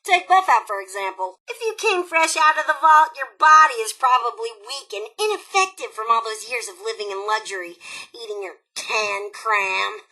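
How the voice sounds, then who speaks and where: A woman's voice: fairly high pitch, lively intonation, moderate pace, bright, forceful tone, full character voice, poor audio. A woman playing a sassy, erratic female raider robot who DJs on the radio in a video game, with a sardonic, theatrical delivery. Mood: manic, boastful. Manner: sardonic, theatrical, erratic swings from muttering to shrieking.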